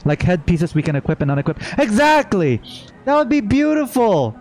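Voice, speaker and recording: A man's voice: high voice, big pitch swings, moderate pace, a little mellow. A man doing casual live gameplay reaction commentary as a streamer, playful and joking. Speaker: game streamer. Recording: faint game music behind.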